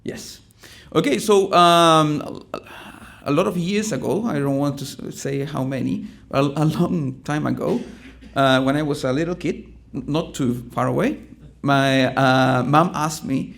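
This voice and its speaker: A middle-aged man from Chile with a Chilean Spanish accent, giving a conference presentation in a halting way, with many ums and restarts. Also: a little high, gravelly, fairly bright tone. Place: conference room.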